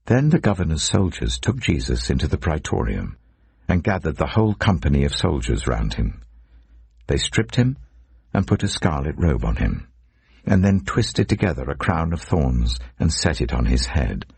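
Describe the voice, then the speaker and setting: A man's voice: a bass voice, big pitch swings, normal speed, vocal fry, darkish tone, slightly rough, no room sound. A man narrating scripture as a scripted, dramatic audiobook reading.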